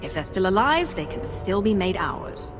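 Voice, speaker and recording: Casting: actress for ruthless female Sith commander, imperious, lively pitch, dramatic game dialogue with music behind. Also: moderate pace, natural voice. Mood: commanding. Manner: imperious, threatening.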